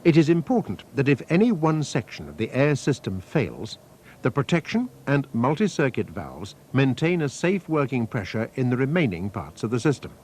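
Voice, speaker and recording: A man reading scripted technical instructions as a training film narrator, in a measured, methodical, formal manner. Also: medium pitch, very animated, a little mellow. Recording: faint noise, archival training film soundtrack.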